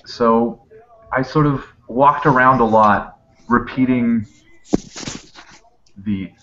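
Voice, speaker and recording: A man's voice: medium pitch, slow pace, a bit bright. A man speaking as a voice actor guest in a casual, reminiscing chat over a video call. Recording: muffled, noisy internet call.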